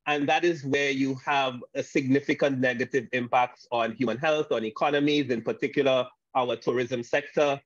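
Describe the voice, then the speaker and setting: A man from Saint Lucia gives a panel talk over a video call, halting, with frequent restarts and fillers. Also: mid-range voice, fairly even intonation, dry recording. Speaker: guest speaker. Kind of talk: online panel presentation. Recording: dull lo-fi video call audio.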